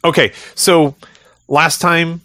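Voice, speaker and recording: A man's voice: a little high, steady tone, slow, over music. A man hosting a casual travel podcast, talking in an upbeat way with some fillers. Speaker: podcast host.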